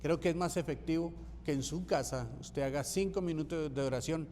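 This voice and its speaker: A man's voice: on the high side, a little fast, dark tone, in a large hall, slight background noise. A man from Colombia, preaching a sermon as pastor, emphatic and repeating key phrases for rhythm. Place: church sanctuary.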